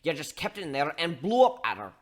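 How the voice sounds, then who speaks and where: A man voicing a gruff, scolding barkeep who gives blunt tough-love lectures in folksy slang in a dramatic story reading. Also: on the high side, very animated, fast talker. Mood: blunt, lecturing.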